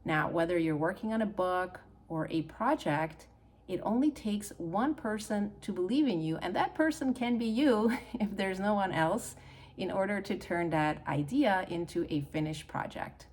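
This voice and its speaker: A woman's voice: mid-range voice, moderate pace, darkish tone. A middle-aged woman from Hungary with a Hungarian accent, talking earnestly and encouragingly to camera as a channel host. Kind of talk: personal talk to camera.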